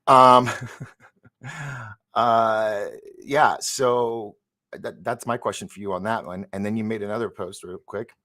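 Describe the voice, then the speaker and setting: A man's voice: lowish voice, steady tone, a little slow, pauses often, pushed and bright. A man in his fifties hosting a live stream, chatting casually and rambling as he reads out viewer comments. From San Francisco. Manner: rambling, chatty, stumbles over words. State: recovering from an injury. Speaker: host of a live stream show.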